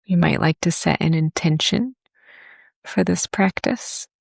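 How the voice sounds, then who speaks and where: Slow, low-voiced woman guiding meditation. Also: vocal fry, no echo, clean studio sound. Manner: gentle, unhurried, with long pauses. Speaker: yoga and meditation teacher. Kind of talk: guided meditation and body scan instruction.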